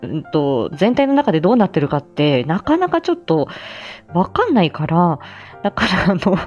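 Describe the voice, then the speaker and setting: A woman's voice: on the low side, sing-song, moderate pace, darkish tone, muffled recording, soft background music. A woman chatting casually and reflectively on a livestream, self-effacing and hesitant, often trailing off mid-phrase. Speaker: amateur voice drama actor and livestream host.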